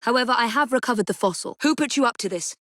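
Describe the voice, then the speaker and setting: A woman's voice: on the high side, lively intonation, quick pace, fairly bright tone, no room sound. Dry, determined young English woman assassin. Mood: composed, resolute. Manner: crisp, articulate, formal, dry. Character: determined, sharp-witted young female Victorian assassin, composed and dry. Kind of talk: video game voice acting.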